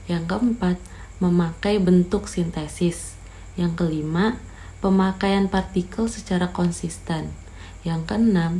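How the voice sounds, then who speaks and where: Young woman, student presenter, slow steady reading, low mellow voice, faint background noise. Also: poor audio. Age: young adult. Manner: steady reading of a numbered list.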